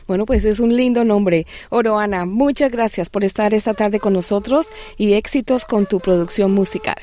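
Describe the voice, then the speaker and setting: A woman's voice: mid-range voice, normal speed, mellow. A woman hosting an interview, asking questions politely and encouraging her guest with short affirmations. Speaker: interviewer, host. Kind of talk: radio interview.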